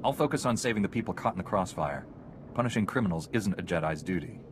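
An actor playing an earnest, principled young knight hero in a video game, speaking directly. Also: male voice, low-pitched, lively intonation, fast talker. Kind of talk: video game cutscene acting. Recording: noisy ambience behind voice.

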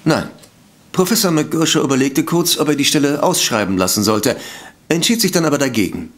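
A man's voice: fairly high pitch, lively intonation, fairly quick, close to an everyday voice. An actor playing a polite, defensive male sanatorium director in a detective radio play, speaking formally and hesitantly. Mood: defensive, earnest. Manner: formal, hesitant, self-justifying. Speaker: voice actor in audio drama.

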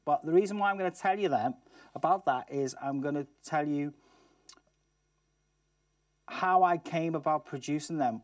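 A man's voice: mid-range voice, no room sound. Chatty Liverpool man, miked from afar. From Liverpool, England. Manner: chatty, asks the audience questions. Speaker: guest speaker. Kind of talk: talk to a student audience. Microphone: far camera mic, no mic seen. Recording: distant, dry studio sound.

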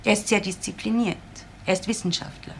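An actress plays an efficient, guarded laboratory assistant in a crime radio play. She is matter-of-fact and clipped, with a gravelly creak. There is faint noise behind her. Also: mid-range voice, fairly even intonation, a little fast, lightly acted, rough recording. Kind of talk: German crime radio drama acting.

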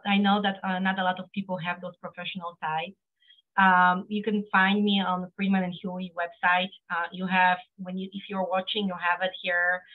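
Woman, Polish accent, middle-aged, earnest guest, video call, narrow phone-like audio. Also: lowish voice. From Poland. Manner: earnest, many fillers and restarts. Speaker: guest, accountant. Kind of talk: casual interview talk. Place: home office, indoors.

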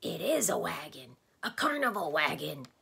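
A woman's voice: low voice, very animated, normal speed, creaky, pushed and bright, exaggerated voice. A woman voicing an earnest, brave male watchdog who speaks firmly and dutifully.

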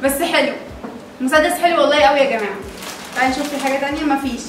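Very echoey, high-pitched Egyptian woman. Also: very fast. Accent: Egyptian Arabic. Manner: chatty, exclaiming, repeats phrases. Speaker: host of a family toy-unboxing vlog, mother. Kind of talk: casual chat to camera and children. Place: tiled living room at home, indoors. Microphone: far camera. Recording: very echoey, distant mic, noisy room.

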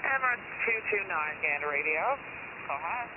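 A man with a slightly high voice talks as an air traffic radio operator in clipped aviation phraseology. He comes through a very narrow shortwave radio channel, with clear static hiss over everything. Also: lively intonation, moderate pace. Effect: HF radio transmission. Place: received over long-distance HF radio. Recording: narrow-band, hissy shortwave radio reception. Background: loud static hiss.

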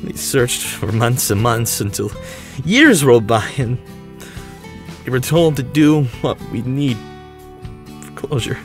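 A man plays an emotional young man in an audio drama, desperate and pleading, with a mid-pitched voice. Music plays underneath him. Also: lively intonation, a little slow, pauses often. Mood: grieving, desperate. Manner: emotional, pleading, sighing delivery. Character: distraught young man desperate for his missing brother. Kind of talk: amateur audio drama acting.

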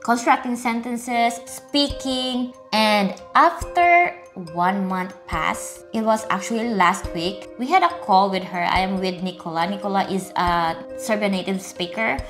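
A woman's voice: mid-range voice, unhurried, few pauses, fairly bright tone. A young woman presenting to camera on a lapel mic in a small living room, explaining plainly and earnestly in simple English. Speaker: host and language teacher. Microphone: clip-on lapel mic. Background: music.

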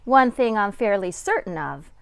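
A middle-aged woman, a kindergarten teacher, reading a picture book aloud to young children with deliberate, sing-song dramatic emphasis. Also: mid-range voice, sing-song, gravelly, dry recording.

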